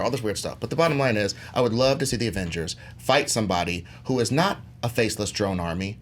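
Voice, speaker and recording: A man's voice: lowish voice, slight background noise. A man making an informal, hyped-up argument as a movie debate contestant, in colloquial language with rhetorical questions.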